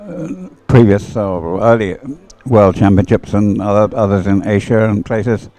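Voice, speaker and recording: Older low-voiced male sports commentator, rambling. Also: measured pace, warm tone. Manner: hesitant, chatty, rambling. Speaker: sports commentator, former umpire. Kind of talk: live dragon boat race commentary with rambling asides.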